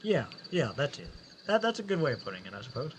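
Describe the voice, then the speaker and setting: A man's voice: fairly high pitch, very animated, quick pace, slight background noise. A man casually introducing his own show in a hesitant, rambling way.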